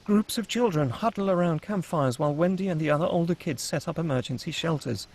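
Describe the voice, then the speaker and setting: A man's voice: on the high side, brisk, a little mellow. A man reading stage directions as the narrator of a screenplay, in a dramatic scripted style.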